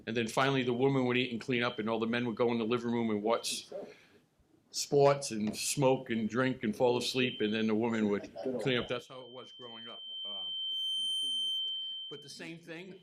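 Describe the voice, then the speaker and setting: A middle-aged man talks on a panel. He is relaxed and halting, with plenty of ums and restarts, and his voice sits at an ordinary pitch. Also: low-quality recording. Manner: relaxed, halting with many fillers. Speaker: state senator. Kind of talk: panel remarks.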